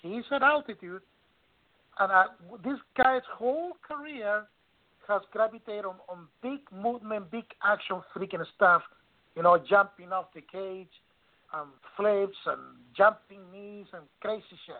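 A man's voice: high voice, a little slow. A man making fight picks in casual chat, emphatic and blunt, swearing casually. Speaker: panelist. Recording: tinny phone-line audio.

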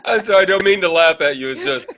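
A woman's voice: sits low, lively intonation, fast, fairly bright tone. A woman co-hosting a casual interview, reacting with short exclamations. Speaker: podcast co-host.

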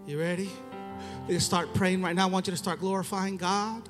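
High-voiced preacher with a Mexican accent. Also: male voice, steady tone, moderate pace, fairly bright tone, soft background music. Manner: fervent, calls "Amen?" to the congregation. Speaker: missionary preacher. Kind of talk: Pentecostal sermon and prayer. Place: church.

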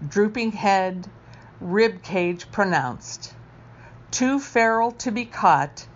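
Lady reading her poems slow n careful, kinda low voice, bit muffled lofi audio. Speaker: poet reading own work. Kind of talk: poetry recital.

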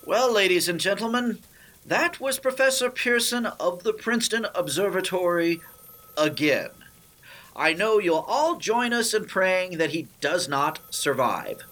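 A woman's voice: on the low side, lively intonation, a little slow, fairly bright tone, low-quality recording. A woman playing an incredulous, sardonic news co-anchor in a 1930s radio spoof, formal with a sarcastic edge. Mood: formal, dry. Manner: formal announcing that slips into sarcasm. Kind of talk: 1930s radio broadcast parody acting.

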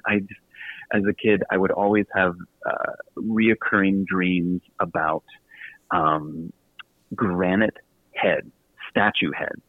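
A man's voice: low-pitched, no echo, narrow, tinny audio. A man chatting casually as a radio interview guest, self-deprecating and effusive, with a joking manner. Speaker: guest, author and podcast host.

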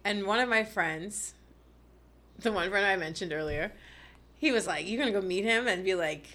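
Casting: Bahamian woman in her twenties, bright crisp tone, animated storyteller. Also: medium pitch, normal speed. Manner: animated storytelling, laughs often. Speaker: guest. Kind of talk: casual chat.

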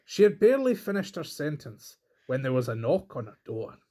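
A man's voice: on the high side, very animated, fairly quick, warm tone. A man reading a folk tale aloud as storyteller, in scripted narration.

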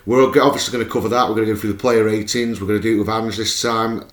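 Man, hoarse and low, keen podcast host; roomy sound. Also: steady tone, very fast. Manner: chatty and eager, with restarts. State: hoarse, losing his voice. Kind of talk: casual fan podcast chat.

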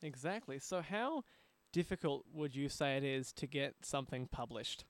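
A man's voice: mid-range voice, expressive, normal speed, dry recording. An Australian man presenting a show as its host, with scripted introductions and interview questions. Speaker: podcast host.

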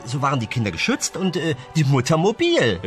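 A man's voice: a little high, huge pitch swings, brisk, faint music behind. A man playing an earnest, long-suffering scientific assistant in a comic radio play. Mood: earnest, hesitant. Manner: earnest, slightly exasperated.

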